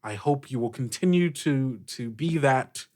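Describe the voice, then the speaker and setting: An earnest sea captain in a fantasy game, played by a man. The voice sits at a medium pitch and sounds weary. The captain addresses the crew in a halting, deliberate manner. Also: lively intonation, darkish tone, natural voice. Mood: earnest, awkward.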